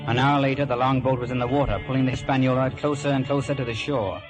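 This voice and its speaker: A man's voice: mid-range voice, steady tone, fast talker, over music, natural voice. An actor playing an earnest young hero who narrates his sea adventure in a dramatic, scripted style. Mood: suspenseful.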